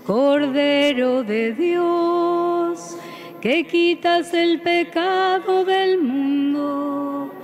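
High woman's slow sung chant. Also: fairly even intonation. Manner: slow sustained singing. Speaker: cantor. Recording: church reverb with music.

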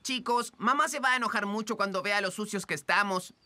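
A woman voicing an innocent, excitable little boy kitten in a cartoon, with a Latin American Spanish accent. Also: mid-range voice, a little fast, lightly acted. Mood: fretful. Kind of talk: children's cartoon voice acting.

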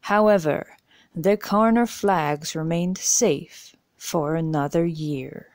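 A woman, playing the measured, deliberate narrator of a radio drama. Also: sits low, darkish tone.

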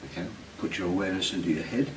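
A middle-aged man working as a hypnotist, giving directive suggestions and questions in short, deliberate phrases. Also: low voice. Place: meeting room with glass wall, indoors. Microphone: distant camera mic. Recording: echoey room, distant mic, clear background noise.

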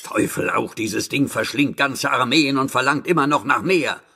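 A male actor playing a sinister, obsessive, ageless alchemist in a horror radio play, pompous and theatrical, with a husky voice. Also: medium pitch, sharp tone, a little hiss or hum. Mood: angry, commanding. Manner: slow, pompous, theatrical declaiming. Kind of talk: horror audio drama acting.

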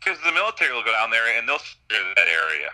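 A man joining as a guest in a political debate, calm and dismissive, coming through remote audio. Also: mid-range voice, quick pace, low-quality recording.

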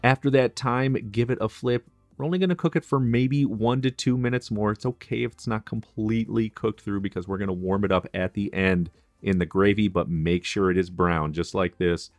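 A man's voice: on the low side, steady tone, normal speed, no room sound, soft background music. A man explaining a recipe step by step as a chef in a cooking tutorial, in a friendly, instructive way. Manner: instructive, friendly, practical kitchen tips.

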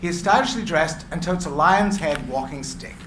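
A man's voice: fairly high pitch, lively intonation. A man narrating stage directions at a live screenplay reading. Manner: brisk, matter-of-fact. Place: gallery room with seated audience. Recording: echoey room, clear hiss.

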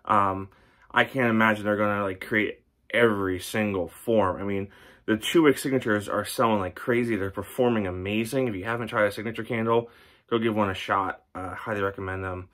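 A man's voice: fairly low pitch, steady tone, a little fast, a bit bright. A man in his thirties, a candle reviewer, chatting casually to camera at home, rambling with many ums and restarts. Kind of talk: casual product review vlog. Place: home room with record shelves.

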